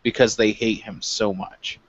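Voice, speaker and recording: A man's voice: on the low side, flat delivery, unhurried, husky, muffled recording. A man chatting casually as a co-host, informal and given to some swearing. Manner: informal, mildly profane. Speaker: review show co-host. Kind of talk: casual chat.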